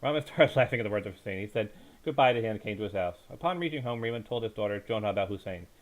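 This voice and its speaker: Man reading a bedtime story, very animated pitch. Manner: halting, stumbles and corrects himself while reading. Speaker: storyteller, reader.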